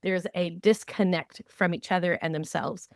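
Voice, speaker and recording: A woman hosting a podcast, talking conversationally and explaining with animated examples. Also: lowish voice, normal speed, no echo, clean studio sound. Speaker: podcast host. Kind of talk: conversational training discussion.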